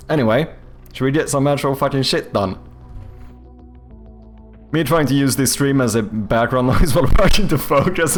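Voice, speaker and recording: A young man with a Swedish accent chatting loosely on a game development stream, swearing often and filling gaps with ums and likes. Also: medium pitch. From Sweden. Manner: loose and profane, full of fillers and restarts. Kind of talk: casual live-stream chat.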